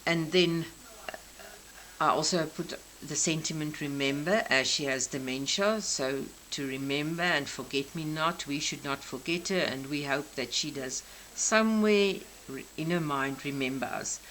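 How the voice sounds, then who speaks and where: A South African woman in her sixties, a native Afrikaans speaker, gently explaining each detail of her craft journal. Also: low-pitched, measured pace, a little hiss or hum, rough recording. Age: 60s. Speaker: craft channel host. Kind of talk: crafting show-and-tell flip-through.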